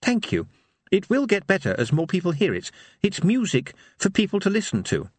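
Storybook role: male reader as young minstrel, shy, earnest, dreamy, hesitant, pitched well up. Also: huge pitch swings, normal speed, breathy edge, no room sound, full character voice. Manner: faraway, hesitant. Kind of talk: audiobook character voice.

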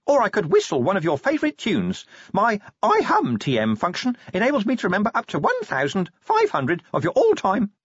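A man voicing a small male imp living in a gadget, eager and pleading, with fast salesman-like chatter. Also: sits high, huge pitch swings, slightly rough, dry recording, voice pushed up, heavily acted. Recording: dry, slightly dull recording.

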